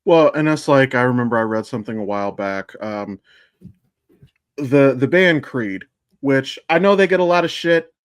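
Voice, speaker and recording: A man's voice: mid-range voice, expressive, moderate pace, clear, resonant tone, no echo. Man chatting on a podcast, dry sound. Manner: blunt, sweary, addresses listeners directly. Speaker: podcast host. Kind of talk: casual, opinionated podcast chat.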